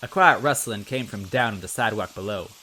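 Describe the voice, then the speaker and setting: A man giving an expressive, conversational first-person narration as he reads a story aloud. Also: on the low side, brisk, a little hiss or hum. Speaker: story reader.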